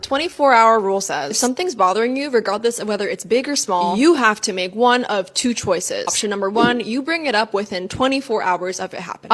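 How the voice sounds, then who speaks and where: A woman content creator explaining relationship advice clearly in a played video. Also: a little high, fairly bright tone.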